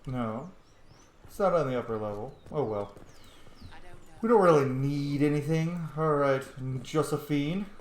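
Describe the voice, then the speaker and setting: A man's voice: a little high, a little slow, reverberant. A man hosting a playthrough with casual, informal commentary, chatty asides and some mild swearing. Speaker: gaming host.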